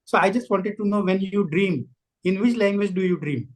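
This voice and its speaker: A man with an Indian English accent hosting an interview, polite and hesitant, often pausing on fillers. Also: sits high. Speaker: interviewer host. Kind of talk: interview conversation.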